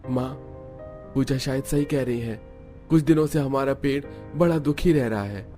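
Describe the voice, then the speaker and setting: Man voicing an uneasy young man, raised pitch. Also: moderate pace, dark tone, faint music behind, close to an everyday voice. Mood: concerned. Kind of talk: cartoon dialogue.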